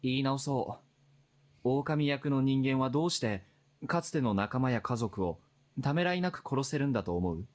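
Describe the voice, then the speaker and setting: A man's voice: mid-range voice, animated, fast, natural voice. An actor playing a probing but polite and apologetic male investigator in a Japanese visual novel. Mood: pressing.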